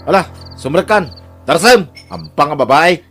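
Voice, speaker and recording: A man's voice: on the high side, sing-song, normal speed, a bit bright, faint music behind. A man playing a controlling, jealous working-class husband in an Ilocano audio drama, with a Filipino Ilocano accent. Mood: angry, accusing.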